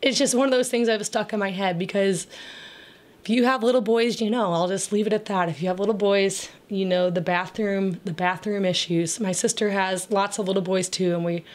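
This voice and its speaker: Raspy-voiced woman chatting casually. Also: medium pitch, fairly even intonation, a little fast. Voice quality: raspy, crackly voice. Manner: chatty, rambling, with frequent fillers and laughs. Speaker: homemaking vlogger. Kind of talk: casual voice-over chat.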